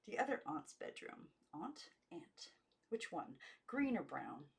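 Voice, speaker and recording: Low-pitched woman reading a story. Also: sing-song, slow, creaky. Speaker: amateur audiobook reader. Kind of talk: scripted story narration.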